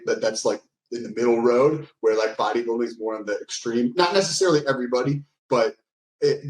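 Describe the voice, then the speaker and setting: A man's voice: mid-range voice, a little mellow. A man co-hosting a podcast, speaking casually and hedging with frequent "like". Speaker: podcast co-host. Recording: echoey room sound.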